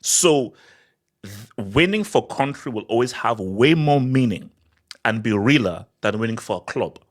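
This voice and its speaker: A man from Nigeria makes his case in a loose podcast chat. His pitch sits in an ordinary middle range, and his delivery is animated and emphatic, with quick restarts and doubled words as he pushes each point home. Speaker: podcast co-host. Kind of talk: casual football discussion.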